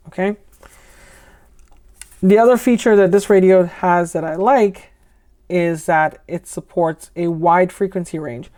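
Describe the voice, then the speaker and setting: Female product reviewer, lowish voice, US-raised, casual and explanatory, unscripted with conversational asides. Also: a little mellow. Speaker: ham radio vlogger and product reviewer.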